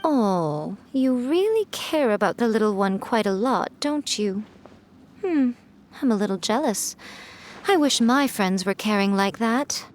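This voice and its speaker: A woman's voice: mid-range voice, a little hiss or hum, lightly acted. Sly, poised fox priestess, game, voiced by a woman, teasing and lilting. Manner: teasing, coaxing, playful. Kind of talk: video game voice acting.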